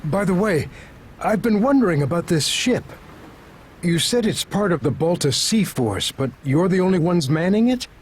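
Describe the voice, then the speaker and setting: A man's voice: sits high, lively intonation, moderate pace, a little hiss or hum. An actor playing an earnest, hesitant middle-aged town doctor and worried father in a video game cutscene. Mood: resolute, earnest.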